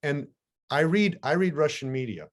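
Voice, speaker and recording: A man whose first language is Ukrainian, hosting a podcast and explaining things in a conversational, animated way with frequent restarts. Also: a little high, normal speed. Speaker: podcast host. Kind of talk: conversational interview.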